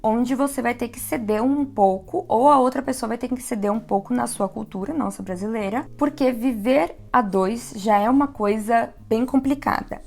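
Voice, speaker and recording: A Brazilian woman from São Paulo with a softened São Paulo accent, giving chatty advice in a casual vlog. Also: a little high, creaky. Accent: São Paulo Portuguese, softened. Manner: chatty, reflective, laughs easily. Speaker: vlogger living abroad.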